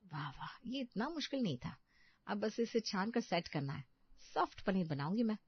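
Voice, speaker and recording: A woman's voice: medium pitch, sing-song, moderate pace, breathy edge, no echo, lo-fi sound. A woman voicing an eager, earnest young housewife in a dramatized moral story. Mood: pleased, determined.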